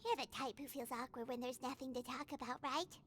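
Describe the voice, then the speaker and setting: A woman voicing a tiny, childlike fairy companion in a video game, squeaky, chatty and blunt, talking in exclamations. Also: fairly even intonation, brisk, heavy background noise, lightly acted. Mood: blunt, awkward. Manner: refers to self in third person, exclaiming. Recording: music behind the voice.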